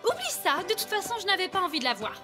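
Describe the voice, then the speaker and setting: A woman's voice: very high-pitched, wildly swooping pitch, quick pace, music in the background, heavily acted. A woman voicing a spoiled, mean young filly pony in a French cartoon, haughty and theatrically mocking. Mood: indignant.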